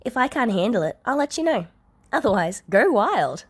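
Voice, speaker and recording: A woman's voice: fairly high pitch, very animated, normal speed, slightly rough, pitched above the performer's own voice. A woman voicing a caring older girl from a story, casual and reassuring, cheerful with an awkward streak. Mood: breezy.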